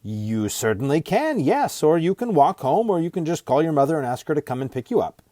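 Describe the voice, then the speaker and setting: A man voicing an affable, bumbling gentleman in a storybook, with polite, hesitant, old-fashioned clipped phrasing. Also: fairly high pitch, sing-song, fairly quick, no room sound, studio quality, voice pushed up. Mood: brisk, helpful. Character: affable, bumbling, well-mannered English gentleman stranger, chatty.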